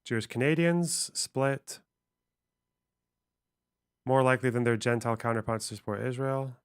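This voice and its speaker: A man's voice: mid-range voice, steady tone, normal speed, smooth, no room sound, clean studio sound. A man streaming, reading text aloud and musing on it casually, swearing offhandedly. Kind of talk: casual livestream commentary.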